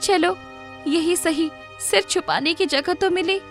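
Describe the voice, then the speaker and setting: A woman's voice: extremely high pitch, takes it slowly, pitched above the performer's own voice, exaggerated voice. A woman voicing a poor, distressed young mother in a children's story, pleading and bewildered. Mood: resigned. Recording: music playing behind the voice.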